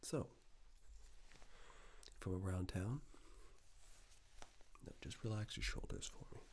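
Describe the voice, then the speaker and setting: A man speaking softly and breathily in an unhurried ASMR roleplay, playing a polite tailor who murmurs instructions with pauses. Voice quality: soft, breathy, half-whispered low voice. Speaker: ASMR performer playing a tailor taking suit measurements. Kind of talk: soft-spoken ASMR roleplay, personal attention.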